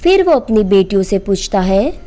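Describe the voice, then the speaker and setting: A woman's voice: mid-range voice, very animated, normal speed, warm tone. A woman storyteller reading a scripted moral bedtime story as narrator.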